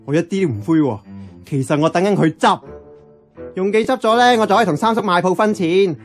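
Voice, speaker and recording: A woman's voice: fairly low pitch, expressive, fairly quick, faint music behind, close to an everyday voice. Cocky, slangy young Hong Kong woman played by an actress. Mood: boastful, scheming. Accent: Hong Kong Cantonese. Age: young adult. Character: brash, money-minded slacker nearing thirty. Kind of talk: Cantonese radio drama dialogue.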